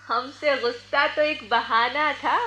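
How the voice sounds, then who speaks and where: Teasing young woman, very high voice. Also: steady tone, slow pace, sharp tone, a little hiss or hum, heavily acted. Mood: playful, teasing. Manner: teasing, verse-quoting, theatrical. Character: playful, teasing young woman friend, theatrical and witty. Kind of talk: Hindi radio drama acting.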